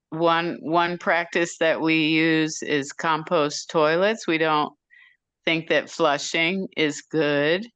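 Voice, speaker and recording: Low, smooth-voiced woman, dry close sound. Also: fairly bright tone, dry recording, dull audio. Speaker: farm owner, meeting participant. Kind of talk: casual introduction in a group meeting.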